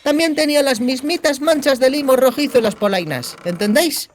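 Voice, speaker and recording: A cheeky young bandit woman, played by a male audiobook narrator, with a very high voice. She is bossy and sardonic, explaining things briskly in colloquial speech. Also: a little hiss or hum. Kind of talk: audiobook character dialogue.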